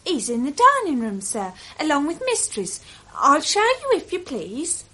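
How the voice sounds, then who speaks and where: A young British housemaid, polite and deferential. The voice is high, bright and very lively in pitch, in 1960s radio drama style. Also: female voice, unhurried, full character voice. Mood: polite, eager.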